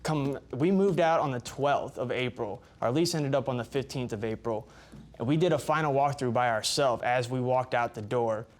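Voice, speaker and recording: A man's voice: mid-range voice, normal speed. A man giving testimony as a plaintiff in a TV court, explaining his side insistently.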